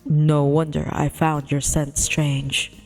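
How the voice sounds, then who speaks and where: A gruff, bossy big cat spirit from a visual novel, played by a woman. It talks slowly and bluntly in a stern voice, with music behind. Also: sits low, flat delivery, a little mellow, voice pushed down. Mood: musing.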